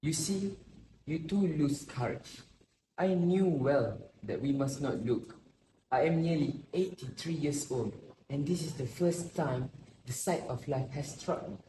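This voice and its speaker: A man playing a solemn, sorrowful grandfather in his eighties, speaking deliberately as in an amateur stage play. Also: on the high side, expressive. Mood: grave, wondering. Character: sorrowful, grave old grandfather of nearly eighty-three. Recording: roomy echo with faint noise.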